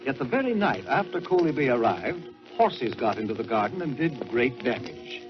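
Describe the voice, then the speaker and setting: A man telling a story in a dramatic 1940s radio style, theatrical and emphatic, with deliberate pauses for effect. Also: mid-range voice, sing-song. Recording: dull old-time radio recording with music.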